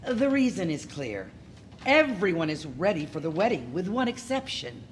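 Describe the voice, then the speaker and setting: A woman's voice: fairly low pitch, big pitch swings, normal speed, slight background noise. A woman narrating an audio play as a storyteller, wry and with dramatic emphasis.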